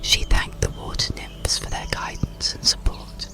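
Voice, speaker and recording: Slow, whispering ASMR bedtime storyteller. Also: music in the background. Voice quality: breathy, unvoiced whisper. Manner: soothing, unhurried, measured delivery. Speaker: audiobook narrator.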